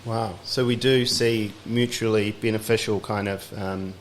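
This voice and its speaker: A man hosting a podcast, asking curious questions in a relaxed, chatty way and nodding along with quick agreements. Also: on the low side, slight background noise. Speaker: podcast host. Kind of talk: casual interview chat.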